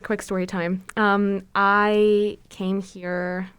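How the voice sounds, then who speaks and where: A woman's voice: mid-range voice, level tone, drawn-out words, a bit bright, clean tone, no echo. A young woman co-hosting a podcast, chatty and upbeat with frequent fillers. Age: young adult. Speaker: podcast co-host. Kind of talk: casual podcast interview.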